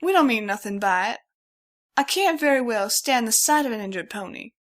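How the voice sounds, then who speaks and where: A woman's voice: fairly high pitch, lively intonation, moderate pace, natural voice. Woman doing a twangy hearty middle-aged stallion. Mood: hearty, insistent. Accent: American Southwest twang. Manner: folksy country talk, loud and hearty. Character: middle-aged male pony stallion, folksy, kindly, pushy. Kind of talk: audiobook character voice.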